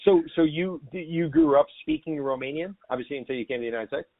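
A man hosting a real estate podcast, talking briskly and upbeat as he interviews a guest and reads promos. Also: fairly high pitch, lively intonation, phone-quality sound. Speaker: podcast host.

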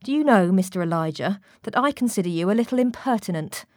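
A woman's voice: mid-range voice, expressive, fast, a little mellow, dry recording, clean studio sound, natural voice. Woman playing a prim, exasperated young sailor. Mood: indignant. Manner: wordy, formal, indignant.

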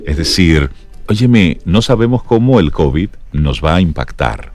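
Expressive low-voiced male radio host. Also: measured pace, no room sound, soft background music. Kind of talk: conversational radio hosting.

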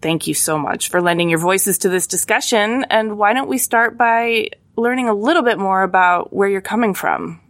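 A woman's voice: medium pitch, moderate pace, hardly any pauses. A woman hosting a design podcast with an earnest, enthusiastic manner, reading an intro and then chatting with guests. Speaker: podcast host. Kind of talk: scripted intro and casual interviewing.

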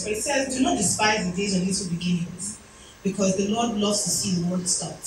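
A woman in her thirties giving a motivational talk at an event, telling personal stories with chatty, self-deprecating asides. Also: mid-range voice. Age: 30s. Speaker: speaker. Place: event hall. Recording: echoey room from far off, noisy.

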